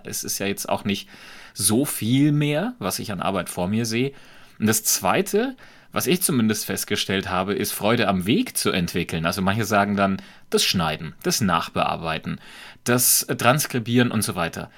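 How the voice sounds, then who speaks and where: Man, light Franconian accent, low voice, reflective, measured, podcast guest. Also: a bit bright. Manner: reflective, measured, explains with examples. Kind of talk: conversational podcast talk.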